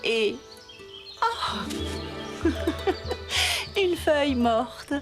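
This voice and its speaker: Very high, tender cartoon animal mother. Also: full character voice. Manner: slow, expressive, motherly. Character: tender, emotional animal mother, devoted to her son. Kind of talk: French animated series dialogue. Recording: music behind voice.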